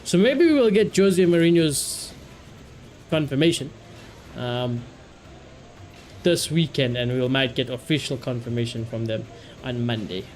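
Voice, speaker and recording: A man's voice: mid-range voice, expressive, measured pace, pauses often, a little mellow, slight background noise. A man live streaming a game, chatting casually and giving opinionated asides, often repeating his phrases.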